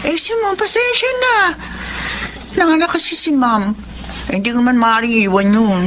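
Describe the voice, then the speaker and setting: An actress playing a devoted, plain-spoken housemaid and nanny in a drama, respectful and folksy, with a Filipino accent. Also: female voice, on the high side, normal speed, a bit bright, slight background noise, natural voice. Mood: apologetic. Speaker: lead actress and narrator. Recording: music behind the voice.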